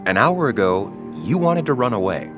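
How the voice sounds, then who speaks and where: A man playing a rational, protective companion in a video game, measured and admonishing as he probes. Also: mid-range voice, big pitch swings, over music, lightly acted. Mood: cautioning, probing. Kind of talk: naturalistic video game acting.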